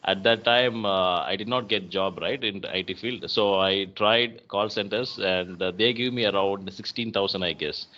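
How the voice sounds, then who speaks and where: Encouraging Tamil-accented male host, bright tone. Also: lowish voice, normal speed, hardly any pauses, lo-fi sound. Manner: friendly, encouraging and clear. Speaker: host, English conversation partner. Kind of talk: casual encouraging chat.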